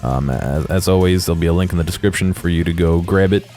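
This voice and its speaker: A man giving casual, unscripted video game commentary in a halting way, as if thinking aloud. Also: low-pitched, warm tone. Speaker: host of a game exploration video. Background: music behind the voice.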